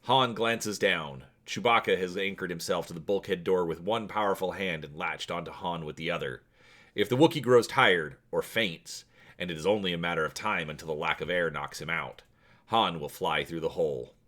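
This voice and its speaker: A man's voice: sits low, normal speed, a bit bright. A man hosting a story channel and reading an adventure aloud in a dramatic storytelling style. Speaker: host and narrator of a story channel.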